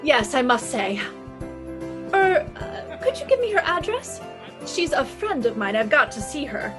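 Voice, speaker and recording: Woman acting a sassy high-voiced lady detective. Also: sing-song, measured pace, over music. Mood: politely probing. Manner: breezy, wry, commanding. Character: sassy, confident female private detective. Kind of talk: 1950s radio detective drama, scripted.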